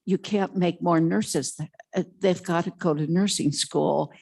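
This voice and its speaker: A woman testifying before a legislative committee for a health care association. She has a loud voice and talks haltingly, with many fillers. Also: lowish voice, fairly even intonation, vocal fry. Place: legislative committee hearing room.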